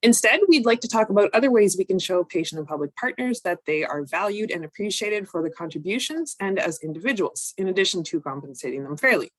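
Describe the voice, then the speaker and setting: A woman, recovering from a cold, presenting an online training session in a measured, explanatory way with frequent ums. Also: medium pitch, animated, no room sound, low-quality recording. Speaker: workshop presenter.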